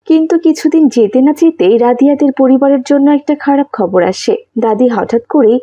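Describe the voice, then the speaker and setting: A woman narrating a scripted children's story, with a husky, mellow voice. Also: sits high, moderate pace, no room sound, lo-fi sound.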